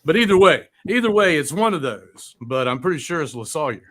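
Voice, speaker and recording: A man's voice: fairly high pitch, sing-song, fairly quick, fairly bright tone. A man hosting a casual live chat in a rambling, joking manner with plenty of swearing. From American South. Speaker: podcast host.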